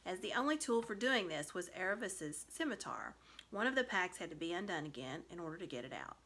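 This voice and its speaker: Female storybook narrator, lively intonation, bright clear-cut tone, expressive scripted reading. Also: lowish voice, a little fast. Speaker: storybook reader.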